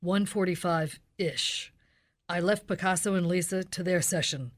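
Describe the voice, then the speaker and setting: An actress as a hard-boiled female police detective in a spoof radio drama. Her voice sits a little low. She delivers everything deadpan, clipped and by the book. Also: a little slow, faint music behind, natural voice.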